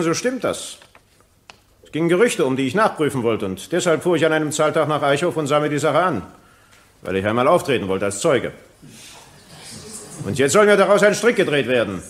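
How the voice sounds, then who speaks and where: An actor playing an evasive, self-justifying former police colonel testifying in a German courtroom radio play. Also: male voice, fairly high pitch, fairly bright tone.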